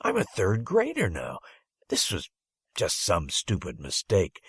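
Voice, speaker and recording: A man's voice: sits low, wildly swooping pitch, unhurried, husky, dry recording, clean studio sound. A man reading a first-person story as an audiobook narrator, with dramatic phrasing and strong emphasis.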